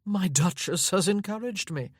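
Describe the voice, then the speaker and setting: A haughty young aristocrat drawls with languid, bored wit, as a woman plays him. The voice is low and husky, dry and close. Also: lively intonation, normal speed, dry recording.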